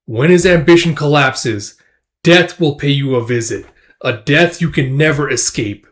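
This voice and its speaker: A man's voice: a little high, measured pace, close to an everyday voice. A man voicing an immortal demon warrior from a comic, with solemn, grandiose, menacing pronouncements. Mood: ominous, prophetic.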